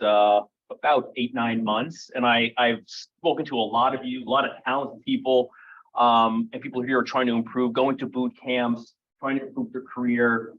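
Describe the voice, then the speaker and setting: A man filling in as emcee, casually introducing the evening's speaker with many fillers. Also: medium pitch. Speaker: stand-in emcee. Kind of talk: event introduction. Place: meeting room. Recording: dull lo-fi room recording.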